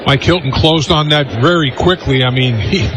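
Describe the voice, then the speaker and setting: A man's voice: mid-range voice, moderate pace. A man giving conversational color commentary on live football radio, repeating phrases for emphasis. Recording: noisy live broadcast.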